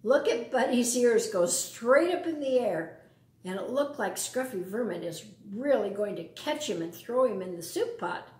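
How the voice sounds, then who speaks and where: A woman reads a children's story in a roomy, echoing space. Her voice is fairly high and very lively in pitch, and she often turns to the children with simple questions and explanations. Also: normal speed, a little hiss or hum. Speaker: storyteller reading a picture book to children. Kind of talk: read-aloud storytime with asides to young listeners.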